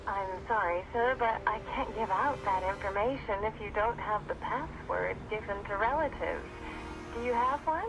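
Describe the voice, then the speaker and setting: Lady voicing creepy calm asylum receptionist on the phone, super polite, noir game music behind. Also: medium pitch, normal speed, natural voice, poor audio. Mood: courteous, official. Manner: polite, formal, soothing. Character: courteous, eerily serene female asylum receptionist. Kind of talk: film noir game voice acting.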